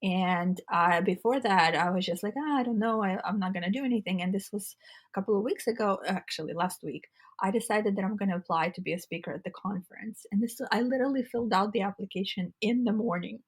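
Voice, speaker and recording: A woman co-hosting a casual spiritual podcast chat, with a raspy, congested voice, speaking earnestly and often restarting her phrases. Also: medium pitch, quick pace. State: congested throat. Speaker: podcast co-host.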